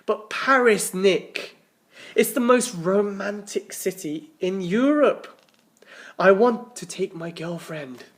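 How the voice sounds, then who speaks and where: A man voicing an excitable, dim, hapless older brother in a storybook, gasping and exclaiming dramatically. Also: high voice, slow, voice pushed up. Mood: eager, indignant.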